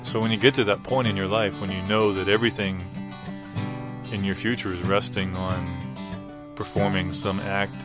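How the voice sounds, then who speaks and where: A man's voice: fairly low pitch, flat delivery, moderate pace, lightly acted. A man playing a wistful, baffled fellow telling his story in a hesitant, rambling, understated way in improvised comedy. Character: wistful, baffled man recounting being expected to sing. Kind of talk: improvised audio comedy, conversational. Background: plucked guitar music.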